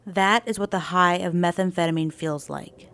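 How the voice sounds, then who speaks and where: Lowish woman narrating news report. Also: steady tone, fairly bright tone, no room sound, studio quality. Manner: measured, informative delivery. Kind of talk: scripted narration. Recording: voice-over with music bed.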